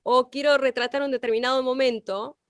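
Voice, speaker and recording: A woman's voice: sits high, steady tone, fairly quick, smooth, no echo, clean studio sound. A woman with an Argentine accent giving a conversational class, explaining and checking in with short questions. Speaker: radio and podcast workshop instructor.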